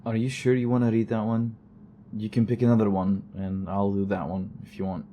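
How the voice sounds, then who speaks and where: Over steady background noise comes an actor as a male investigator in an audio drama, low and soft-edged. He rambles through his recorded log with weary, dry sarcasm. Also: brisk, natural voice. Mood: concerned.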